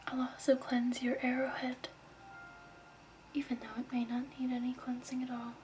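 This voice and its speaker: A woman speaks in a soft, breathy whisper, playing a gentle shopkeeper in an ASMR roleplay. Her delivery is measured and extremely even, with a slightly high pitch where she voices it. Faint noise and ambience sit behind her. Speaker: ASMR roleplay host as crystal shop keeper.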